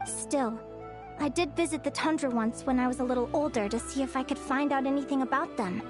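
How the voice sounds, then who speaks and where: Actress doing bookish young fae girl in a game, high voice, earnest n articulate, dreamy storyteller. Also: a little fast, music in the background, natural voice. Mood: wistful. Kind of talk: video game character dialogue.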